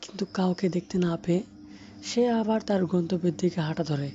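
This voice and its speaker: A man's voice: high voice, brisk. A man narrating a cartoon folk tale in a scripted storytelling style. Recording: dull lo-fi audio under clear music.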